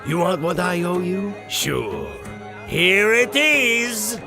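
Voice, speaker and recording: An actor playing a proud, bitter, drunken big-game hunter in a pulp radio adventure, with a theatrical, blustering manner. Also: male voice, high-pitched, very animated, takes it slowly, vocal fry, over music, full character voice. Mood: furious.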